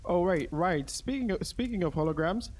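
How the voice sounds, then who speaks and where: A man's voice: high voice, lively intonation, normal speed, a little mellow. A man chatting informally as a live streamer, in a hesitant, trailing way. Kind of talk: casual live stream chat.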